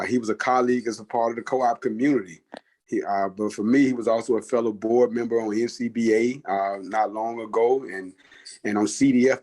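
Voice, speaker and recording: Man, fairly low voice, earnest tribute, halting restarts, calling in. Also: a little mellow. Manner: earnest, halting with repeated words. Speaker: guest, executive director of a cooperative federation. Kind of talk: spoken tribute over a call. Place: outside a doctor's office. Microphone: phone or call audio.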